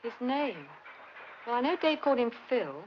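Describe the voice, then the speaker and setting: Young-voiced widowed wife, high pitch, muffled vintage film sound, faint noise. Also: female voice, very animated, moderate pace. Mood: subdued, puzzled. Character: recently widowed wife, plain-spoken and cooperative. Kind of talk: 1950s British film drama acting.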